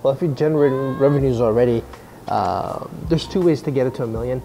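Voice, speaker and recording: A man's voice: mid-range voice, fast, gravelly, dark tone, a little hiss or hum, rough recording. A man in his forties answering business questions in a casual, blunt way, often restarting his sentences, recorded on a camera indoors. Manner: blunt, conversational, frequent restarts. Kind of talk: casual Q&A chat. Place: indoor atrium of a mall or coffee shop. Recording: music under the voice. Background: background music.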